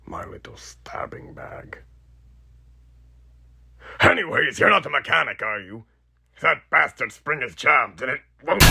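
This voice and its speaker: An old, undead killer machine from a horror audio drama, gleefully menacing his captive. The voice is harsh, piercingly bright and full of gravel, swinging widely between mocking highs and low muttering. He takes his time, theatrical and cruel. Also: full character voice. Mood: bitter, menacing, then exasperated. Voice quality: raspy, gravelly. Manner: theatrical, mocking, muttering asides, sinister chuckles. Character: sadistic elderly undead killer animatronic, gleefully menacing captor.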